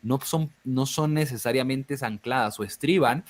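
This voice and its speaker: A man's voice: medium pitch, moderate pace. A man co-hosting a live talk show, speaking reflectively with frequent hesitations and restarts. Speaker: podcast co-host. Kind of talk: casual live discussion.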